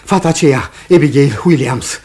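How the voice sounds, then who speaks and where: A man's voice: medium pitch, animated, a little mellow, natural voice. A man playing an officious, accusing court clerk in a Romanian radio play, insistent and dramatic. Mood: grave, insinuating. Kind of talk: Romanian radio theatre acting.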